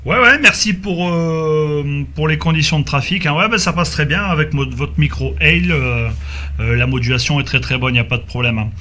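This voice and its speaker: A man chatting casually and haltingly as an amateur radio operator, heard over a two-way radio. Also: fairly high pitch, pushed and bright, a little hiss or hum. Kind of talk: casual radio group chat. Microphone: radio microphone.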